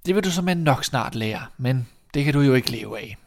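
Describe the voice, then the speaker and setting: A man's voice: medium pitch, animated, creaky. Man playing a stern, exasperated fairy-tale father. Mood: weary, exasperated. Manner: scolding, blunt.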